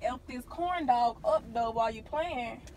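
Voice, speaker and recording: A woman's voice: fairly high pitch, unhurried. A woman, chatting casually inside a car. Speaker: prank vlogger. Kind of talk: casual chat. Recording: noisy car interior, phone camera audio.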